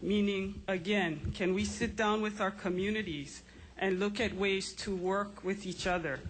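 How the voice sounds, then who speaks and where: A woman giving earnest, deliberate testimony against a bill at a legislative hearing. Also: medium pitch, slight background noise, low-quality recording. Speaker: public testifier. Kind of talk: formal public testimony.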